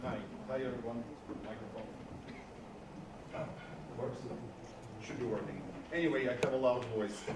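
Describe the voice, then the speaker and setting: A man's voice: mid-range voice, fairly even intonation, a little slow, pauses often, recorded from a distance. A man giving a conference talk on stage, earnest, often restarting his phrases. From Georgia. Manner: earnest, frequent restarts, rises with emphasis. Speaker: conference speaker. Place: conference hall. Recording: roomy hall, speaker away from mic, faint noise.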